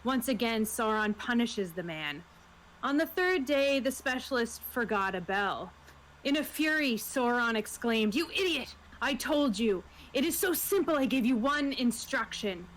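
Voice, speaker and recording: A woman's voice: fairly high pitch, lively intonation, unhurried, a bit bright, a little hiss or hum, low-quality recording. Woman chatting during an online tabletop game. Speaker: player in a tabletop role-playing game. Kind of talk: casual chat over an online game.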